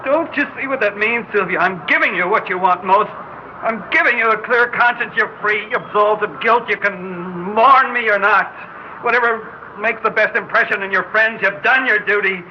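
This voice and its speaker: An actor playing a bitter, despairing grown son, wry and defiant, in an old-time radio drama. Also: male voice, high voice, normal speed, close to an everyday voice. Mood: resigned, wry. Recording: muffled, noisy old radio recording.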